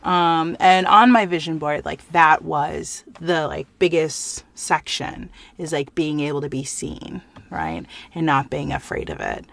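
A woman's voice: deep voice, steady tone, measured pace, vocal fry, a bit bright. A woman, a guest in a casual chat, talking candidly with many fillers. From United States.

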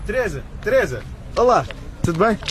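A man's voice: high-pitched, big pitch swings, normal speed, some chatter behind, slight background noise. A man playing an awkward, lovestruck young suitor in a radio drama, hesitant and defensive. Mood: flustered.

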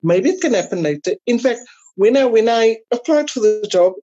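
A South African woman chatting casually as an interview guest, telling personal stories in an animated way. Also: fairly high pitch, normal speed, dry recording, lo-fi sound. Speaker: podcast guest.

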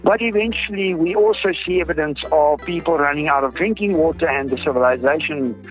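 A South African man with an Afrikaans accent speaks in a rather high voice. He explains earnestly, repeating words for emphasis, like a researcher being interviewed. The sound is dull and lo-fi, with music underneath. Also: a little fast. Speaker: groundwater researcher, interview guest. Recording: dull lo-fi audio with music behind.

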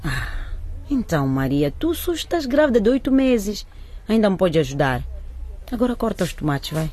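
A woman's voice: medium pitch, big pitch swings, moderate pace, warm tone, a little hiss or hum, natural voice. A woman playing a proud, stubborn, hard-working mother in a radio drama, brusque and indignant in her delivery. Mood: scolding, indignant. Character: proud, stubborn, struggling market-vendor mother, scolding and suspicious.

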